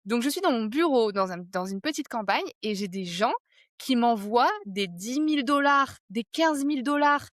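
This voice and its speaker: A woman's voice: on the high side, expressive, moderate pace, dry recording, clean studio sound. A woman hosting a podcast from her home office, chatting casually and with enthusiasm, using loose slang. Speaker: podcast host. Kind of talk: casual conversational interview.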